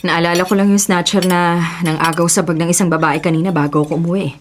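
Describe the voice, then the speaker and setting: A woman's voice: fairly low pitch, fairly even intonation, fast, a little hiss or hum, lightly acted. A young woman acting an earnest, principled policewoman and devoted daughter in a radio drama. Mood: earnest, concerned.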